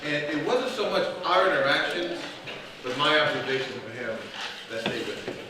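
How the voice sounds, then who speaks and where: Man, grandson's tribute, friendly with jokes, distant from mic, booming echo, heavy background noise. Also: lively intonation, normal speed. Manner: friendly, self-aware, joking asides. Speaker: grandson speaking at a memorial gathering. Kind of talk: informal memorial speech. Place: restaurant dining room. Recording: echoey room, distant mic, loud noise.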